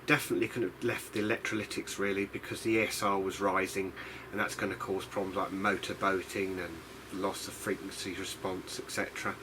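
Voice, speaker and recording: A man's voice: low voice, little pitch movement, brisk, few pauses. A man from the UK giving a casual, unscripted hobbyist demonstration in a rambling, hesitant, self-deprecating way. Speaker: hobbyist radio restorer presenting a video diary. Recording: clear background noise.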